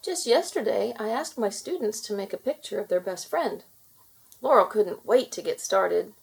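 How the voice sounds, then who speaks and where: A woman's voice: mid-range voice, expressive, normal speed, gravelly, husky, exaggerated voice. A woman voicing a helpful, pleasant schoolteacher who explains things in a children's storybook.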